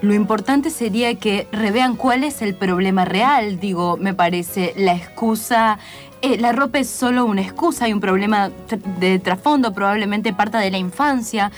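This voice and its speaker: Woman playing psychologist, medium pitch, measured, explanatory, hesitant, radio improv comedy. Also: faint music behind, close to an everyday voice. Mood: measured, analytical. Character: measured psychologist giving her analysis. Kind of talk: improvised comic radio play.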